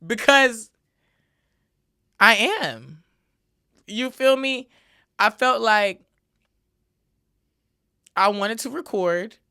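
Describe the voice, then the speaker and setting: Bright-voiced woman podcasting solo. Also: a little high, drawn-out words, pauses often, clear, resonant tone. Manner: conversational, repeats filler tags, emphatic. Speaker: podcast host. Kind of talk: casual solo podcast monologue.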